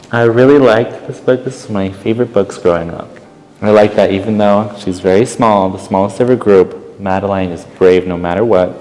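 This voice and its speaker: A man reading a children's picture book aloud as a volunteer, expressively, with pauses and casual asides. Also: deep voice, in a large hall, rough recording. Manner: expressive, pausing, commenting on the pictures. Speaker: volunteer storytime reader.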